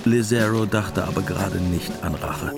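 A man's voice: low voice, moderate pace, vocal fry. A man narrating a scripted radio drama as its storyteller. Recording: music behind.

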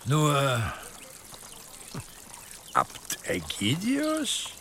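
An actor playing an imperious, slyly menacing church dignitary in a medieval radio drama, speaking deliberately and with condescending insinuation. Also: male voice, medium pitch, wildly swooping pitch, a bit bright, slight background noise, exaggerated voice. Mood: smugly pleased. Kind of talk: German radio drama acting in a medieval setting.

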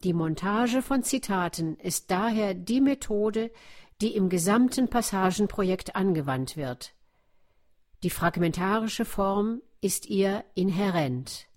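A woman reading a scripted documentary narration in a deliberate, explanatory way. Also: medium pitch, animated, a little mellow.

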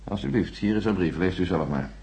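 A husky, low-voiced, condescending chief engineer. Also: male voice, lively intonation, fairly quick, darkish tone, heavily acted. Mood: businesslike. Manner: patronising, formal, unruffled. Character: smooth-talking, condescending male chief space engineer, coolly official. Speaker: radio drama actor. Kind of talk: radio play dialogue.

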